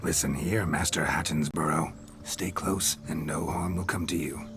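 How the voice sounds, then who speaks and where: An actor playing a roguish, boastful reveler in a fantasy video game, with a jaunty, old-fashioned way of talking. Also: male voice, a bass voice, steady tone, normal speed. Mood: jovial, boastful. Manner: jaunty, swaggering, old-fashioned turns of phrase. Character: roguish, boastful reveler living again in a monster hunter's body. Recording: game dialogue under loud music.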